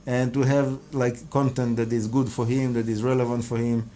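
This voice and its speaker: Hebrew-native man with a mellow voice. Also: medium pitch, flat delivery, slight background noise. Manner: halting, restarts and repeats words often; earnest, devout phrasing. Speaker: rabbi and kabbalah teacher. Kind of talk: casual interview answers.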